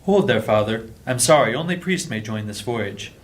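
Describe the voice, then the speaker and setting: A man's voice: medium pitch, animated, moderate pace, lightly acted. A man playing a polite but officious priest on guard in a fantasy audio drama, formal and firm. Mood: firm, courteous.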